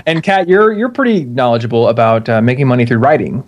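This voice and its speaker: A man's voice: mid-range voice, expressive, quick pace. A man hosting a money podcast in casual chat, upbeat, laughing often and poking fun at himself. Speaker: podcast host.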